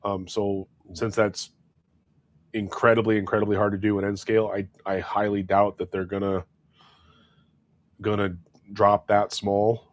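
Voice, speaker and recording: A man with a fairly low voice talks through a head cold, his nose audibly plugged up. He rambles in a casual chat, circling back with fillers and restarts. He speaks into a clip-on wireless mic. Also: flat delivery, a little slow, long pauses. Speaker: co-host. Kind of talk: casual hobby chat livestream.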